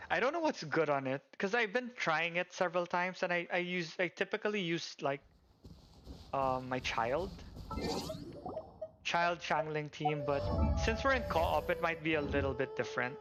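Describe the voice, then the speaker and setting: Higher-voiced man livestreaming a game over music. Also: expressive, normal speed, dull audio. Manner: thinks aloud, hesitant. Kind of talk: casual live gameplay commentary.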